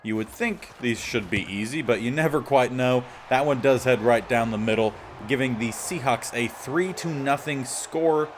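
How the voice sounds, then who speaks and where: A man with a slightly raspy voice giving casual gameplay commentary as the host of a gaming series. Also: medium pitch, moderate pace, few pauses, slight background noise.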